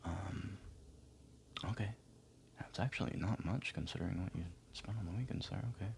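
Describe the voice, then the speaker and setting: A man speaking softly, often near a whisper, in a gentle, hesitant, encouraging ASMR roleplay as an advisor talking to a client. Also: low voice, moderate pace, gravelly, a little hiss or hum. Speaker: ASMR performer playing a financial advisor. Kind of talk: ASMR roleplay, soft-spoken one-sided client consultation.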